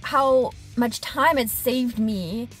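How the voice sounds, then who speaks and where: A woman's voice: a little high, slow pace, soft background music. A woman in her thirties, an HVAC technician, talking casually and expressively to camera from the cab of her truck. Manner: animated, expressive, gesturing with hands. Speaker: HVAC technician and business owner. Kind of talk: casual vlog diary. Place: inside a parked truck cab. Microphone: camera on dashboard at arm's length.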